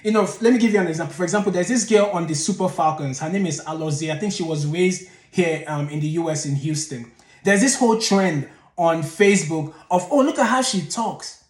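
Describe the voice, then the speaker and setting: A man's voice: fairly high pitch, a little fast, echoey room. Blunt man. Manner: blunt, exasperated, digressive. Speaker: channel host. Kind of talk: opinionated commentary.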